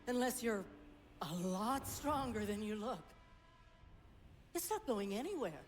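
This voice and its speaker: An actress playing an anxious, prickly research scientist in a video game cutscene, rattled and sardonic, speaking in fast bursts. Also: female voice, fairly high pitch, lively intonation. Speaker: station scientist. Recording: long reverb with faint background noise.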